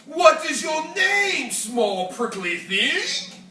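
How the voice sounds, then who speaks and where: A huge, gleefully rude storybook rhinoceros acted out by a storyteller, loud and mocking. The voice jumps up high and swings wildly, growling and bellowing in an echoey room. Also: very animated, very slow, slight background noise, heavily acted. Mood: menacing. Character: large male rhinoceros, rude, gleeful bully. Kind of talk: storybook character voice. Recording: echoey room, distant mic.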